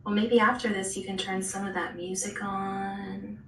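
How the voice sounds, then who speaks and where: A woman hosting a live online art class, chatting softly and answering viewers while she draws. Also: medium pitch, flat delivery, normal speed, slight background noise. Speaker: host and art instructor. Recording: roomy, distant live-stream audio.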